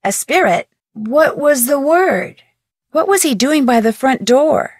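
Young game sleuth, inquisitive and courteous, slightly high voice, dry studio read. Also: female voice, lively intonation, takes it slowly, no room sound. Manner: inquisitive, earnest questioning. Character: curious young female amateur detective, polite and inquisitive. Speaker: game protagonist. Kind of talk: recorded game dialogue lines. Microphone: close studio mic.

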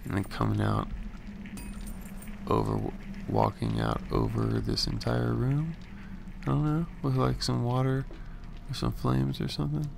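A young man with a raspy morning voice, casually rambling through gameplay commentary with slang. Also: medium pitch, very animated, unhurried, noisy background. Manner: slangy, rambling, laid-back. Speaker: gaming channel host.

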